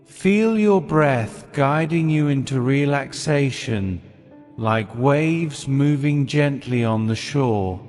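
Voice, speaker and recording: A man guiding a sleep hypnosis in a soothing, unhurried way, with short phrases and long pauses. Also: medium pitch. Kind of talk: guided sleep hypnosis, scripted meditation narration. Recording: long reverb with music behind. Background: calming music.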